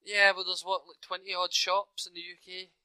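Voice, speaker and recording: A man's voice: high-pitched, flat delivery, normal speed, pushed and bright, smooth, no echo, low-quality recording. A man with a Glaswegian accent chatting casually and jokingly on a podcast call, swearing freely. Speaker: podcast co-host. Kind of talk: casual chat.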